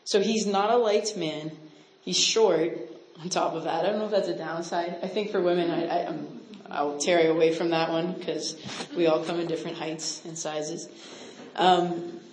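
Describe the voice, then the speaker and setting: A woman's voice: on the low side, steady tone, normal speed, a little mellow, a little hiss or hum. A woman from Canada, a missionary speaker, teaching a casual Bible lesson. She quizzes her audience and talks in a chatty way, with many "like" and "right?" asides. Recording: roomy echo.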